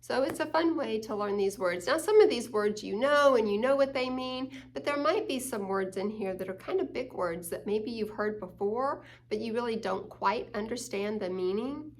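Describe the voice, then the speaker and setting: A woman's voice: a little high, expressive, moderate pace, hardly any pauses. A woman hosting a children's story time, reading a picture book aloud and explaining it with questions to the young listeners.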